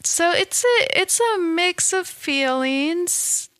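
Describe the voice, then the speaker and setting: A woman talking in a casual, reflective monologue, halting and restarting often, with a slightly nasal, stuffed-up voice. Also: very high voice, animated, no room sound. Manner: halting, many restarts and soft laughs. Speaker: vlogger.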